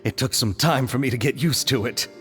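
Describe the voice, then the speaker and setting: A man's voice: brisk, natural voice. An actor playing a nervous, effusive male film director in game dialogue. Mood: earnest. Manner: flustered, emotional, leaps high in pitch. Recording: reverberant with music behind.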